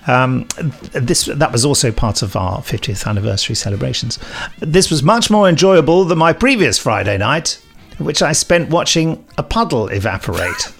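A middle-aged man with a gravelly edge to an average-pitched voice. He chats casually as a podcast host, wry and bantering, drifting into hesitant asides. Faint music sits behind him. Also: lively intonation, moderate pace, fairly bright tone. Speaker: podcast host, audio drama director and composer. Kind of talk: casual podcast chat, reading listener emails.

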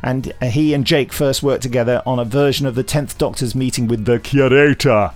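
A man's voice: mid-range voice, fairly even intonation, brisk. A British man hosting a podcast, chatting casually about news in a hesitant, rambling way. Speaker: podcast host. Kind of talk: casual chat about audio drama news.

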